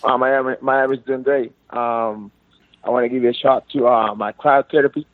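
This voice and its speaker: A man's voice: medium pitch, fairly even intonation, moderate pace, no room sound. A man from Cuba with a Cuban Spanish accent, calling in and speaking insistent, repetitive, broken English; Spanish is his first language. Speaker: fighter calling in. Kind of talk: phone interview. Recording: dry, tinny phone line.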